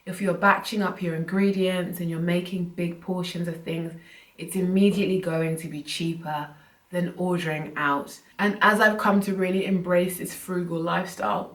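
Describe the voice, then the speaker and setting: Croaky young woman vlogging. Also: fairly low pitch. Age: young adult. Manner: chatty, earnest, loose run-on phrasing. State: croaky, short of breath from illness. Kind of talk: casual talk to camera. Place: room at home. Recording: roomy, from a distance.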